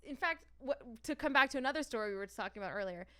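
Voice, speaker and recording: A woman's voice: sits high, expressive, racing, fairly bright tone, clear, resonant tone. A young adult woman stand-up comedian telling stories in a casual podcast chat, swearing, laughing and acting out other people's lines.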